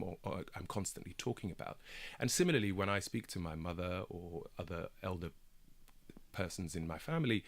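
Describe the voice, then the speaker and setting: A deep-voiced man in his thirties talks in a radio studio. Swahili was his first language, and he makes his points earnestly, leaning hard on key words and sometimes repeating them for stress. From Kenya. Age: 30s. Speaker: barrister and broadcaster guest.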